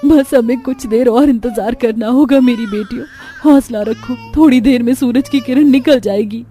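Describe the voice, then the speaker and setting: A woman's voice: a little high, normal speed, warm tone, soft background music, natural voice. A woman voicing a poor, desperate widowed mother in a cartoon story, plaintive and imploring. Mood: tearful encouragement. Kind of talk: melodramatic Hindi cartoon story acting.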